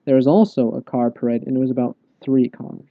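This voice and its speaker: A man co-hosting a podcast with casual political commentary in measured, slightly formal phrasing. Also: medium pitch, fairly even intonation, no room sound, dull audio. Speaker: podcast co-host.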